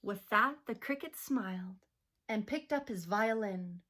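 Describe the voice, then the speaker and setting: A woman teacher reading a rhyming picture book aloud to children, slow and expressive. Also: medium pitch.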